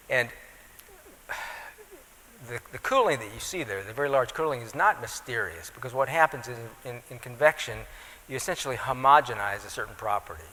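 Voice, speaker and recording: A man, an atmospheric physicist, answering audience questions in measured technical explanations, often checking in with "right?". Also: medium pitch, fairly bright tone, long reverb tail, low-quality recording. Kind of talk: technical lecture Q&A. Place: lecture hall.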